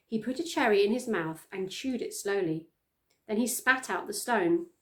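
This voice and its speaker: A woman reads a story for children. She is middle-aged, with a mid-range voice and an expressive storytelling manner, recorded indoors in a small room. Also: medium pitch, moderate pace. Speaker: storytime reader. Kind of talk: reading a children's story aloud.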